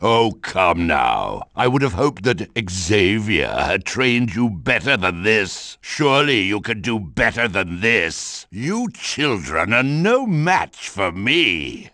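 A proud, taunting older supervillain in a video game. The voice is very deep and unhurried, swooping through a wide range as he mocks his foes. It sits dry and close, with no room around it. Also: big pitch swings, sharp tone, no room sound, full character voice. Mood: mocking. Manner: haughty, taunting, theatrical. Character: arrogant mutant supervillain, older man, commanding. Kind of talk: game voice clips.

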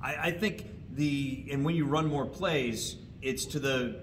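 A middle-aged man giving wry, detailed sports analysis as a regular guest, recorded on a distant camera in a large indoor hall. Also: a little slow. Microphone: far camera. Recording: distant mic, rumbling background noise.

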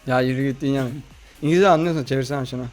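A man hosting a horror game playthrough in slangy, excitable, casual chat, often addressing his viewers. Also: mid-range voice, very fast, soft background music. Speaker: gaming video host.

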